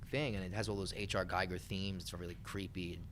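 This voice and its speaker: A man's voice: deep voice, fairly even intonation, a little fast. A man talking casually and with opinions as a panelist in an informal podcast discussion. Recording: clear background noise.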